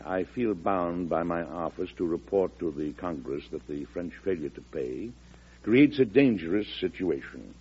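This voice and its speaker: An actor playing a weary but firm older US President, stubborn and folksy, in old-time radio drama style. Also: male voice, low-pitched, vocal fry, warm tone, husky, heavily acted. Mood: firm, resolute. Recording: dull vintage radio recording.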